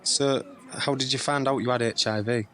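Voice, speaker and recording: An actor playing a quiet, reserved nineteen-year-old nephew in an audio drama. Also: male voice, mid-range voice, steady tone, moderate pace, a little hiss or hum, natural voice. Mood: sympathetic. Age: young adult. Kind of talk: audio drama acting, naturalistic dialogue.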